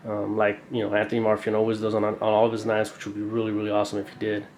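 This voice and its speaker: A young man with a congested, stuffy voice talks through an unboxing in a loose, rambling way, peppering it with fillers and slang. His delivery stays fairly flat in pitch, with a roomy echo and a faint hiss in the background. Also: rapid-fire. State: congested from a cold. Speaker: knife collector reviewing a purchase. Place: indoor room, camera over a tabletop.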